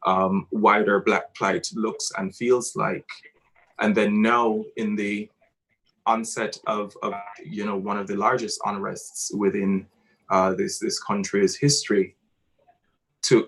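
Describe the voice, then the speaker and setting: A man with a Jamaican accent speaking earnestly and haltingly, searching for words as he reflects on his creative work. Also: on the low side, fairly bright tone, poor audio. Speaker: theatre director discussing his work. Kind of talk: reflective talk about a creative piece.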